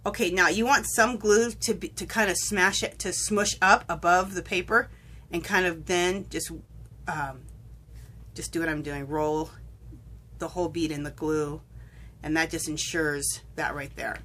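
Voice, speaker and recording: A woman's voice: lowish voice, steady tone, measured pace, a bit bright, a little hiss or hum. Chatty woman with a cold, crafting. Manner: chatty, rambling, self-correcting. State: fighting a cold, sniffling. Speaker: crafting vlogger. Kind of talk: casual tutorial chat. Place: home craft table, indoors.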